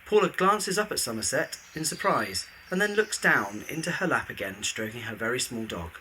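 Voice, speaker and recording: A man's voice: mid-range voice, animated, moderate pace, pushed and bright, slight background noise, rough recording. A man narrating a scripted audio drama in the present tense, describing scenes and actions.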